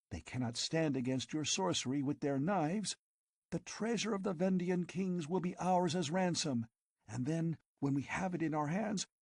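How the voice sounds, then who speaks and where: A man's voice: high-pitched, a little mellow, slightly rough, dry recording, clean studio sound, voice pushed up, heavily acted. A man reading an audiobook, voicing a fierce, passionate young woman who pleads urgently and breathlessly. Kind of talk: audiobook character voice.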